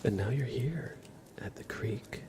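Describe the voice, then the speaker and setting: A man speaking gently and softly, at times whispering, as an ASMR livestreamer guiding a relaxing scene. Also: mid-range voice. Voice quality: soft, partly whispered. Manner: gentle, unhurried, casual. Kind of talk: ASMR, soft relaxing talk and guided visualization. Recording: clear crinkling and ambient noise.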